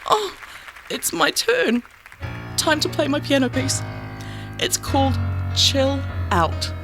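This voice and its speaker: A woman's voice: high voice, very animated, slow pace, over music, heavily acted. A woman voicing a cheeky, playful young girl character.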